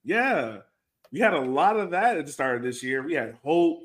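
A man's voice: fairly high pitch, sing-song, moderate pace, fairly bright tone. A man co-hosting a sports fan podcast, talking casually and informally with a resigned manner. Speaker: co-host of a soccer fan podcast.

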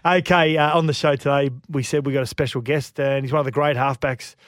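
A man's voice: mid-range voice, fairly even intonation, fairly quick, no room sound, clean studio sound. A man co-hosting a sports radio show in casual, teasing banter. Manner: chatty, laughs easily, teasing. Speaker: radio co-host.

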